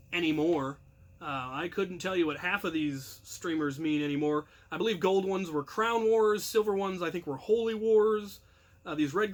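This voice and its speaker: A man telling casual anecdotes to his viewers as a channel host, rambling and self-deprecating with animated emphasis. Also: high-pitched.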